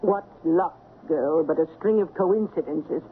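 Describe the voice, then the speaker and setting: An actress playing a haughty, blunt, wealthy older woman in a radio drama, speaking with deliberate command. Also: low voice, slightly rough. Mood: boastful, defiant. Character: imperious, blunt, wealthy older woman who packs a pistol. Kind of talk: old-time radio drama acting. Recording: narrow-band, noisy old radio recording.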